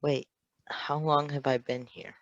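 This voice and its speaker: A man's voice: mid-range voice, unhurried, gravelly, fairly bright tone, no echo, highs cut off, voice pushed up. A man playing a frightened, vulnerable teenage boy superhero, rambling in panic, in a story reading. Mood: alarmed.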